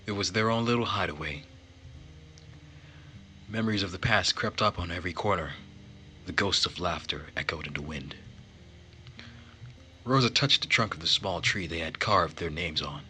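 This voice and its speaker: A man's voice: sits low, moderate pace, pauses often, pushed and bright, a little hiss or hum. A man hosting a gaming video, narrating a visual novel aloud and adding casual commentary. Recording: music behind the voice.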